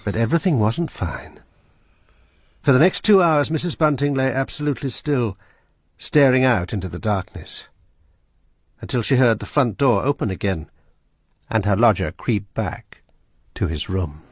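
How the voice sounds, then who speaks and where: A man narrating a suspenseful period story in a measured, scripted way. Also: mid-range voice, expressive, dry recording, clean studio sound.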